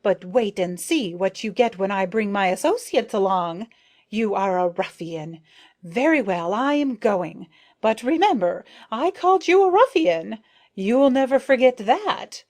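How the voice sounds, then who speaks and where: A woman voicing a frightened, indignant little male ant with a clear, piping, sharp voice. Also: mid-range voice, lively intonation, unhurried, natural voice. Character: shrill angry little male ant intruder.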